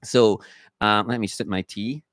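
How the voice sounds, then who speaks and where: A man giving an unscripted book review in a halting way, full of fillers and restarts, a little croaky from a cold. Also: on the low side, steady tone, a bit bright, no room sound, clean studio sound. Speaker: book reviewer, channel host.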